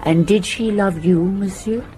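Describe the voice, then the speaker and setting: An actress playing a middle-aged inspector's wife in a radio drama, observant, frank and knowing. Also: female voice, medium pitch, unhurried, dark tone, faint music behind, natural voice, poor audio.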